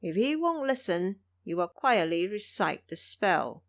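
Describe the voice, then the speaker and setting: A woman's voice: mid-range voice, sing-song, unhurried, no room sound, studio quality. A woman voicing a kindly, mysterious old woman in an audio drama, solemn and instructive. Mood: solemn, guiding.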